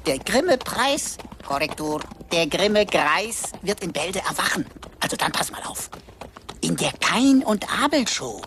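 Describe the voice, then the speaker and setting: Sassy computer character, lively swooping pitch, flippant, boastful, mannered, radio play, faint noise. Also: female voice, measured pace, full character voice. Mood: cocky, playful. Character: cocky, wisecracking computer posing as a gunslinger. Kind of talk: German radio drama acting.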